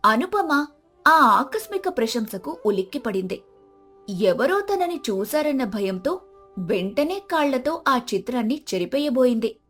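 A woman's voice: sits high, very animated, measured pace, a bit bright, faint music behind. A woman narrator reading a scripted moral story in a dramatic storytelling style.

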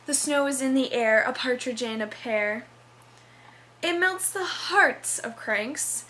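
A woman's voice: high-pitched, slow, sharp tone, heavily acted. A young woman playing an earnest, over-dramatic narrator, declaiming verse from a Christmas stage show. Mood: grandly festive. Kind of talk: dramatic reading.

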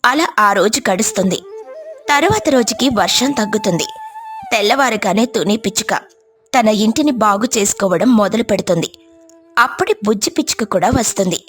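A woman's voice: medium pitch, expressive, fairly quick, soft background music. A woman narrating a scripted children's story as a storyteller.

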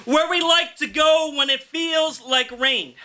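Man, thirties, poet reading aloud, emphatic declamation, strained high pitch, hard sharp tone. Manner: emphatic, shouted delivery in drawn-out phrases. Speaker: poet, featured reader. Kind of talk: loud declamatory poetry reading. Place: indoor live poetry reading in a small venue.

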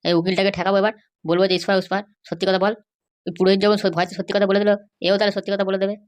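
A woman's voice: medium pitch, flat delivery, racing, lightly acted. A woman voicing a defiant, hot-headed young married man in a comic cartoon, blustering and argumentative. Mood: eager.

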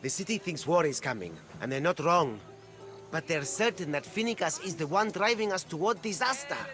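A male actor plays a cautious street vendor of ancient Greece in a video game. His high voice rises and falls in animated, earnest phrases, with faint music underneath. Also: brisk, natural voice. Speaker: non-player game character. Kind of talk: scripted game dialogue.

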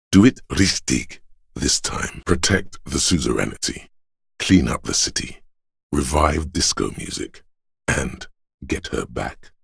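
A menacing, brooding inner voice from a video game, speaking from the very bottom of the male register. The delivery is slow and solemn, thick with gravelly creak. It coaxes and commands like dramatic narration, gruff and deliberate. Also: no echo, clean studio sound, exaggerated voice. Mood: urging. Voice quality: very deep, gravelly, husky rasp. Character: gruff, menacing inner voice of a man's body, reactionary, coaxing.

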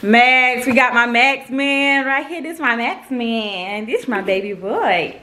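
A woman in her thirties hosting a casual vlog, a little hoarse from a cold, upbeat and playful as she talks to her kids. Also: fairly high pitch, slow pace, heavy background noise. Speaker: vlog host. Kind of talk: casual chat to camera.

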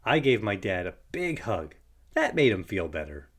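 Lively, low-pitched man reading storybooks. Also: normal speed. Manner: expressive storytelling for young children. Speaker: storybook narrator. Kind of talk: children's read-aloud narration.

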